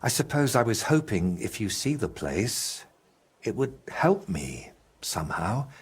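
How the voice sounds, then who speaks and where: An actor playing a troubled, guilt-ridden medieval painter in a video game, with a husky, halting and brooding delivery. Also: male voice, on the low side, big pitch swings, exaggerated voice. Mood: regretful. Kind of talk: video game dramatic dialogue.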